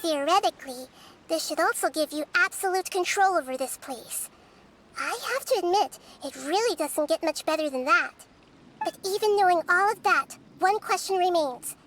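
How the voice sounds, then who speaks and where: A woman voicing a wise, perceptive young goddess in child form for a video game, speaking in a calm, measured way. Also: very high-pitched, a little hiss or hum. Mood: calm, probing.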